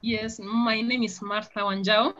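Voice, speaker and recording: A woman's voice: medium pitch, fairly even intonation, a little slow, fairly bright tone. A woman with a Kenyan accent introducing her charity work earnestly as a founder on an online panel. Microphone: video call. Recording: slightly muffled internet video call.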